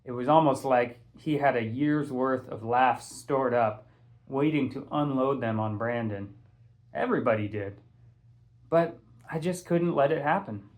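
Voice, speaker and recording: A man reading a first-person story aloud in an expressive, casual, conversational way. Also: mid-range voice, measured pace. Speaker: audiobook reader, teacher.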